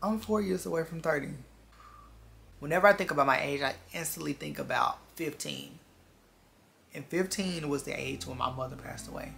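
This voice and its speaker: Creaky, bright-voiced vlogger in their twenties. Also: medium pitch, normal speed. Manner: earnest, confiding, repeats phrases for emphasis. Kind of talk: casual reflective chat to viewers. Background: background music.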